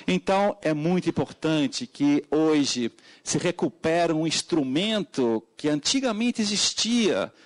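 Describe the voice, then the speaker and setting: A man's voice: lively intonation, slow. A middle-aged man from Portugal with a European Portuguese accent, an educator giving formal testimony at a public hearing, with frequent hesitant fillers and sudden emphatic rises. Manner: many hesitant fillers, emphatic pitch jumps.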